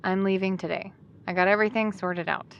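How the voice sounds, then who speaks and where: A woman's voice: mid-range voice, steady tone, brisk, gravelly, dull audio, close to an everyday voice. A woman playing a trusting, firmly decided best friend in an audio drama, talking in a casual, assured way that brushes off doubt. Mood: resolved. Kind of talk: audio drama acting, conversational.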